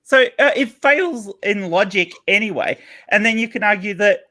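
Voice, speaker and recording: Man, very high lively voice, casual panel chat, jokey, stammering. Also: moderate pace. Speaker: co-host.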